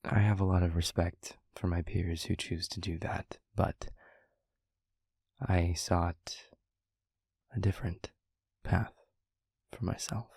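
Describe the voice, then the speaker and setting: A man playing a gentle, thoughtful demon mentor in an intimate audio roleplay, speaking softly in a breathy, half-whispered voice with measured, hesitant pauses. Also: sits low, steady tone, no echo, full character voice. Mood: reflective, earnest.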